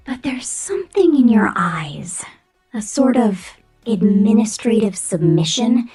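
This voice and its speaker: A woman's voice: medium pitch, big pitch swings, unhurried, warm tone, soft background music, exaggerated voice. A woman voicing a domineering, sadistic female corporate killer robot in a menacing, half-whispered ASMR roleplay monologue. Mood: cold, domineering. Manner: menacing, contemptuous, commanding, breathy half-whispered delivery.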